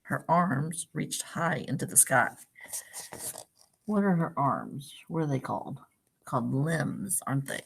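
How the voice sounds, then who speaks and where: A woman reading a picture book aloud to young children, pausing for simple questions and explanations like a teacher. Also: extremely low pitch, animated, creaky, a little hiss or hum, poor audio. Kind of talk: read-aloud storytime with asides and questions to young listeners.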